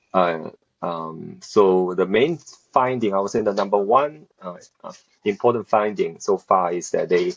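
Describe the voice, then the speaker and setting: Low husky man, halting speech. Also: lo-fi sound. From South Korea, raised in Beijing. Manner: hesitant, many fillers and restarts. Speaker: researcher, assistant professor. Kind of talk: conversational interview answers.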